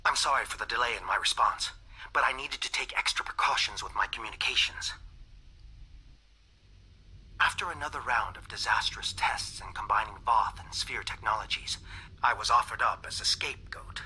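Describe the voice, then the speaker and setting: An actor playing a fearful dissident alien scientist in a game cutscene, speaking in a measured, grave manner. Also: male voice, on the low side, sharp tone, slightly rough, whispered, full character voice, rough recording.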